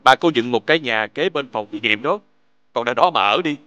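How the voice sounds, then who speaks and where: A man's voice: medium pitch, animated, brisk, bright, forceful tone, no room sound. A man playing a kindly, easygoing father in a children's cartoon, with a southern Vietnamese accent. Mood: amused, indulgent.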